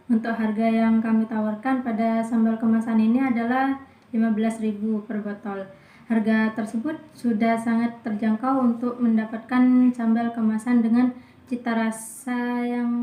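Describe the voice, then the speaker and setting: A woman's voice: on the high side, warm tone. A young woman from Indonesia, a student reading a business presentation from a script, listing points one by one. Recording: echoey room.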